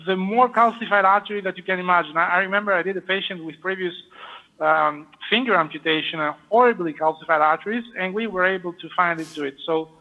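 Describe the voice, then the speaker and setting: A man with a French accent explaining a surgical procedure live and answering questions, halting with many fillers. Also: sits high. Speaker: surgeon demonstrating a live case. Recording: muffled lo-fi audio.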